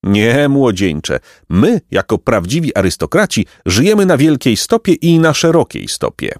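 A man voicing a vain, pompous, aristocratic pelican with a shrill squawking voice for a storybook. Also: big pitch swings, moderate pace, no echo, studio quality. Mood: haughty. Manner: pompous, haughty, florid exclamations. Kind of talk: storybook character voice.

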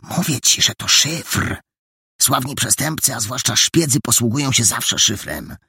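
A man voicing an excitable, imaginative boy detective who talks eagerly and insistently. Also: mid-range voice, unhurried, gravelly, breathy edge, no room sound, studio quality, voice pushed up, heavily acted.

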